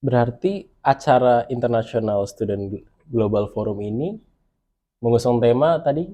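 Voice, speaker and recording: A young man hosting a podcast, asking friendly, lively questions. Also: medium pitch, moderate pace. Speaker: podcast host. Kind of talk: podcast interview.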